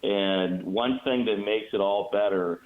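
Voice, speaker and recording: Low-voiced American man on a phone line. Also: a little slow. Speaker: radio play-by-play announcer, show guest. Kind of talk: conversational sports analysis interview. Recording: muffled phone-like call.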